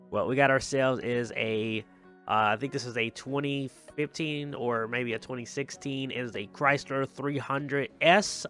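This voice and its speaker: A man with a mid-pitched voice hosts a casual gaming showcase of cars. He talks conversationally and enthusiastically, leaning on repeated words for emphasis. Faint music plays behind his commentary. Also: a bit bright. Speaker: gaming video host. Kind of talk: casual car showcase commentary over gameplay.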